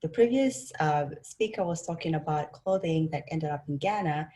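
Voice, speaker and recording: Casting: woman from Rwanda, lowish voice, measured academic presenter on a video call, pauses and fillers. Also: animated, mellow. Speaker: presenter, design instructor.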